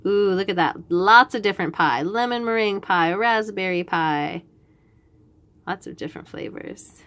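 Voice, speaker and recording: A woman reading a picture book aloud to young children in an expressive way, with chatty asides and questions about the pictures. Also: mid-range voice. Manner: expressive, pauses to point out pictures and ask questions. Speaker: children's read-aloud host.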